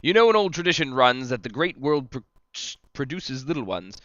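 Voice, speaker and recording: A man's voice: mid-range voice, big pitch swings, fairly quick, dry recording. A man playing a sly, boisterous devil, a worldly tempter, in a declamatory, teasing reading of verse drama. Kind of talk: theatrical amateur verse reading of a stage drama. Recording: muffled, loud crowd din behind.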